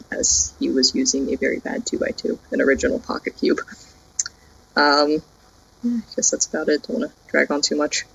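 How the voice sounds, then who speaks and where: Male speaker; somewhat high, gravelly and husky; casual, brief self-introduction. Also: a bit bright, poor audio. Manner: casual, wraps up quickly. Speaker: committee member. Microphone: video call.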